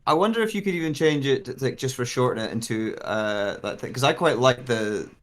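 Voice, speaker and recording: A man with an average-pitched voice talks casually as an actor working through a script. He thinks aloud in animated fragments, trying out phrasings as he goes. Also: a little fast. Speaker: voice actor and writer. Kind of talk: casual discussion of script readings.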